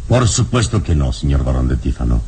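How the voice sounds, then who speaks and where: An actor playing a calm, steadfast adventurer-hero in a vintage radio serial, courteous, measured and firm. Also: male voice, very deep voice. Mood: firm, dignified. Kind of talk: vintage Spanish-language radio serial acting. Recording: old radio recording with background noise.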